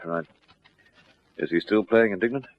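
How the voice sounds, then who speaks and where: An actor playing a brisk, easygoing junior police detective, clipped and matter-of-fact, in an old radio drama. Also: male voice, on the low side, narrow, tinny audio, close to an everyday voice.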